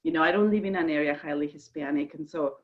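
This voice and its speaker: A woman's voice: deep voice, fast, lo-fi sound. A woman from Mexico with a Mexican Spanish accent, speaking as a guest on a conference call in an enthusiastic, chatty way with frequent fillers. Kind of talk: casual conference call chat. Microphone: video call.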